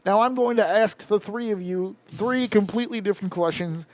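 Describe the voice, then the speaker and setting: High-voiced profane man hosting a podcast. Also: fairly quick, darkish tone. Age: 30s. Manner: profane, emphatic and rant-like. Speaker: podcast host. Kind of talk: casual round-table chat.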